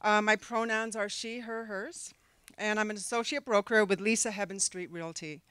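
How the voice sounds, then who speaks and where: A woman's voice: mid-range voice, fairly even intonation, normal speed, fairly bright tone, smooth, no room sound. A middle-aged woman with a Canadian accent reading a prepared campaign speech into a handheld mic at a podium, earnest with the odd filler. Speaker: candidate for a board seat. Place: conference room, in front of a backdrop.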